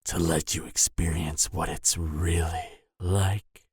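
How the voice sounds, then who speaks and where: Guy doing a cocky flirty vampire in an audio rp, slow teasing talk, super close dry sound. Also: deep voice, flat delivery, darkish tone, husky, no room sound, heavily acted. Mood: seductive, menacing. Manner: teasing, smug, seductive drawl with dramatic pauses. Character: cocky, flirtatious, dangerous adult male vampire, former killer. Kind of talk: flirty audio roleplay acting addressed to the listener.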